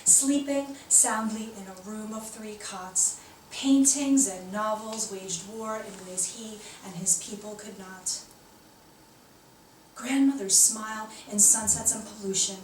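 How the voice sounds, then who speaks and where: A woman's voice: mid-range voice, darkish tone. A woman poet reading her own work aloud at a live poetry reading. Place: indoor poetry reading, recorded from a distance. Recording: echoey room, distant mic, faint noise.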